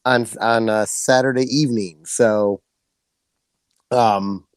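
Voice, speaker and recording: A man co-hosting a podcast, his voice hoarse from yelling, talking in an excitable, rambling, casual way. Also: medium pitch, slow, dry recording, studio quality. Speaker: podcast co-host.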